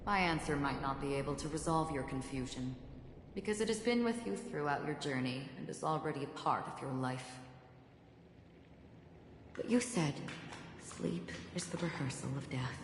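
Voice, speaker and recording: An actress playing a calm, stoic wanderer in a game, speaking in a measured, grave and composed way. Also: female voice, low-pitched. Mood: solemn, reassuring. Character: calm, solemn, stoic woman wanderer giving cryptic counsel. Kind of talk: scripted game cutscene acting. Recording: echoey hall reverb with noisy ambience.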